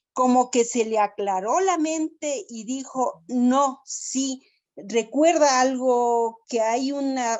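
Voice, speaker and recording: A woman's voice: a little high, a little mellow. A woman from Ecuador with an Ecuadorian accent, earnestly and worriedly asking for advice on a video call. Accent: Ecuadorian Spanish. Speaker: audience member asking for help. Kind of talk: personal question in a live talk.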